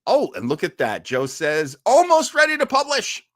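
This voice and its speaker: Animated man hosting live in a roomy space. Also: high-pitched, moderate pace, studio quality. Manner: rambling, jokey, exclamatory. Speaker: host of a live streamed show. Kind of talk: casual live stream sign-off and plugs. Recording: roomy echo with faint music.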